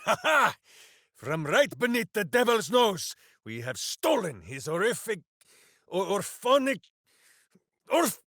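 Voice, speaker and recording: Big goofy warrior hero for a fantasy game, super animated swoops, slow grand delivery, boisterous n earnest. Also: male voice, high-pitched, no room sound, clean studio sound, full character voice. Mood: gleeful. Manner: boisterous, earnest, grandiose, speaks of himself in third person. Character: big, hearty, simple-hearted heroic warrior devoted to his hamster. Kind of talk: theatrical fantasy video game character acting.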